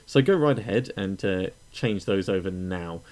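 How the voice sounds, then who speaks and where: A man narrating a casual step-by-step software tutorial in a conversational, explanatory way, pausing often with uhs. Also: deep voice, animated.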